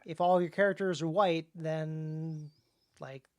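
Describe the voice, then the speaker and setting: An American man hosting a casual discussion as an author, thinking aloud in a halting way with emphatic stresses. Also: fairly high pitch, steady tone, clear, resonant tone. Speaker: podcast host and author.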